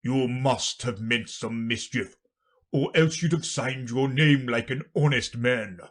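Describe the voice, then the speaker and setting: Pompous old king, muddle-headed, loud, emphatic, husky, storybook character, acted by a narrator. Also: mid-range voice, slow pace. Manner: loud, emphatic, self-important.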